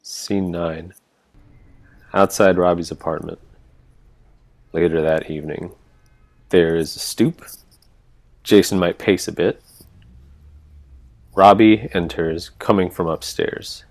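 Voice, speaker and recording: Deep-voiced man reading stage directions. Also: fairly even intonation, takes it slowly, pauses often, darkish tone. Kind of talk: scripted narration.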